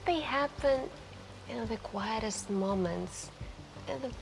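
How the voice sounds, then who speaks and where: A woman playing a mysterious, charming stranger in a café, speaking softly and intimately in an ASMR roleplay with musing pauses. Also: a little high, lively intonation, vocal fry, heavily acted. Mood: reflective, inviting. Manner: slow, musing, gently flirtatious, pausing between phrases. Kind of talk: soft-spoken ASMR roleplay, intimate storytelling. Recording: voice over music and falling rain. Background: music and rain.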